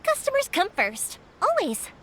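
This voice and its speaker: A woman's voice: very high-pitched, wildly swooping pitch, unhurried, pushed and bright, heavily acted, low-quality recording. A woman voicing a cheerful, kind young fireworks-maker girl in a video game, chatty, eager and informal. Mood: reassuring.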